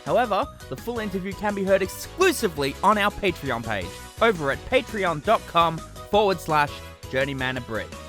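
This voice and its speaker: A man's voice: high-pitched, very animated, normal speed, a bit bright, faint music behind. An Australian man hosting a casual interview with a guest. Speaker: interview host.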